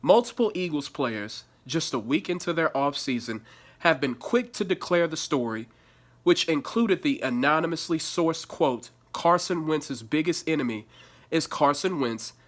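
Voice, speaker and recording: A man's voice: fairly high pitch, animated, a little slow. A man with a stuffy nose from allergies, giving blunt, profane sports commentary and reading an article aloud.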